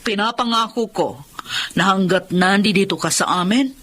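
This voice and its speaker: A woman's voice: mid-range voice, moderate pace, fairly bright tone, lightly acted. A woman playing a kind, firm attorney and employer in a radio drama, reassuring and motherly.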